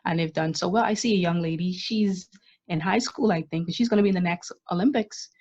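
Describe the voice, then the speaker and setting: Female podcast co-host, lowish husky voice, dull lo-fi recording. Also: brisk, darkish tone. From Bahamas. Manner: earnest, opinionated, plain-spoken. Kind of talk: casual podcast chat. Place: the Bahamas, remote call. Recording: dull lo-fi audio.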